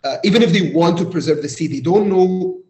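A man from Egypt hosting a casual interview, phrasing things in a thoughtful, halting way. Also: high-pitched, a little mellow. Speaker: interviewer, host. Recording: roomy, echoey sound.

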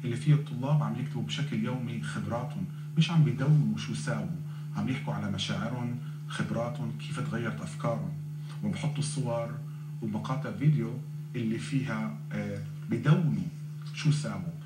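A man's voice: medium pitch, racing. A man, a program director, speaking earnestly as a guest on a TV studio discussion. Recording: echoey TV audio with loud music bed.

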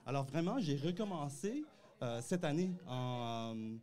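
A man in his forties, a native English speaker talking in French as a comedian guest on a podcast, pausing often with fillers into a handheld mic. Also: mid-range voice, very animated, darkish tone. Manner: hesitant, many "euh" fillers. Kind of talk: casual interview. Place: dark podcast studio.

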